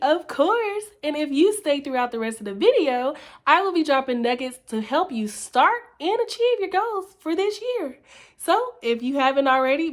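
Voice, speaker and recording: A young woman, sick and a bit nasal, chatting casually and playfully to her viewers in a vlog. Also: high-pitched. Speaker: vlogger.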